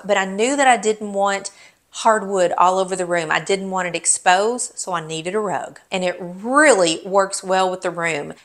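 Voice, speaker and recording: Middle-aged woman, home vlogger, bubbly and emphatic, mid-pitched voice. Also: fairly bright tone. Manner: upbeat, gushing, emphatic. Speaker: home vlogger giving a room tour. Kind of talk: enthusiastic home tour narration.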